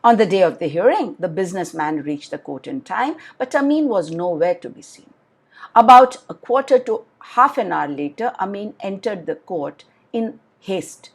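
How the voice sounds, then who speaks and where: Very animated woman telling children's stories. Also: medium pitch, moderate pace, creaky, slightly rough. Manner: expressive, addresses children directly. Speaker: children's storyteller and channel host. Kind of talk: animated bedtime story narration for children.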